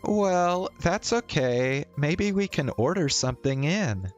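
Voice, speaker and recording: Guy doing a soft considerate anime-game girl, pitched up from his usual, bit of music behind. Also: expressive, takes it slowly, muffled recording. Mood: gentle concern.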